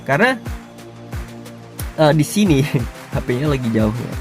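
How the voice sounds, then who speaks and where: A hoarse man talks through a casual screen tutorial at a middling pitch. He rambles in street slang and keeps clearing his throat. Soft music plays faintly underneath. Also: big pitch swings, measured pace. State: hoarse, unwell. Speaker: YouTuber reviewing crypto sites.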